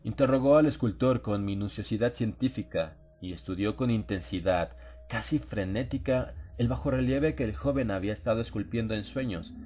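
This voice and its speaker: Low-voiced man narrating a horror audiobook. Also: moderate pace, few pauses, mellow. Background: ambient sound effects.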